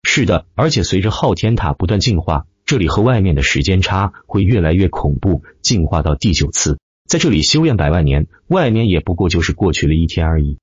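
A man's voice: sits low, a little fast, breathy edge, no echo, dull audio, exaggerated voice. A man voicing a composed, respectful little boy spirit of an ancient tower in an audiobook. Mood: earnest. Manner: respectful, steady, explanatory.

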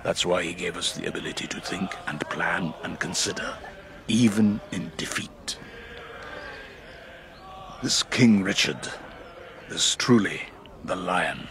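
A grave, devout Saracen war leader, very low and slow in delivery. He sounds solemn and calculating, in a historical radio drama with clear noise behind him. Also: a bit bright, heavily acted. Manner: solemn, deliberate, philosophical. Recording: noisy outdoor ambience behind.

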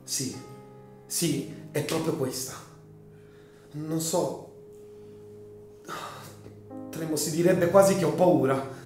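Music plays under an echoey recording of an anguished young gentleman played by a male actor. His voice sits a little high for a man, emphatic and passionate in radio drama fashion. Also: takes it slowly, pauses often. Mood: nervous.

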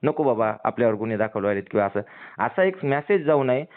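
A man's voice: mid-range voice, very animated, fast talker. Insistent Marathwada man on a tinny phone line. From rural Maharashtra, India. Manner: insistent, pleading, explanatory. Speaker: community activist calling a politician. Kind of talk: earnest phone conversation. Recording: tinny narrowband phone call recording.

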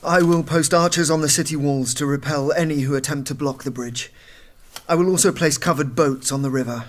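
A man's voice: on the high side, steady tone, a little fast, natural voice. A man playing a cautious, courteous medieval French garrison captain in an audio drama, formal and careful.

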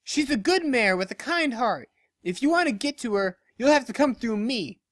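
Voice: mid-range voice, animated, moderate pace, a bit bright, no room sound, studio quality, pitched above the performer's own voice, natural voice. A narrator voicing a loyal, protective female pony in a story, earnest and firm. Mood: defiant.

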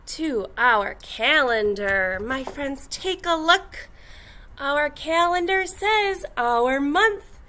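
Young female kindergarten teacher, high-pitched and slow, animated delivery for little kids. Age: young adult. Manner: encouraging, animated, addressing small children. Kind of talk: children's lesson to camera. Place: indoor classroom.

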